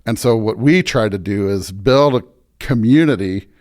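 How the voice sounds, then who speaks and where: A man's voice: lowish voice, moderate pace, a little mellow. An American man speaking as a pastor in an interview, reflective and earnest, with emphatic, preacher-like repetition. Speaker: pastor, podcast guest.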